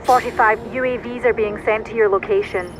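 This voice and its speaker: An actress playing an efficient military operations officer, giving formal briefings in a video game. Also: female voice, a little high, fairly even intonation, fairly quick, music in the background, lightly acted. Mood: businesslike. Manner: crisp, formal, businesslike.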